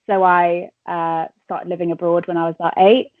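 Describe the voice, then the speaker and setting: A woman's voice: lowish voice, level tone, normal speed, no echo. A woman in her twenties from Wales with an English accent, chatting casually as a radio presenter with lots of fillers and laughs. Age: 20s. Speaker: radio presenter and entertainment reporter. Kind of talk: casual interview answers. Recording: tinny phone-line sound.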